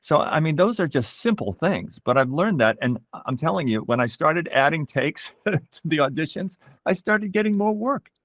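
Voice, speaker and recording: A middle-aged man being interviewed as a voice actor, explaining things in a measured, reflective way and pausing to find his words. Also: fairly high pitch, expressive, a bit bright, dry recording, clean studio sound.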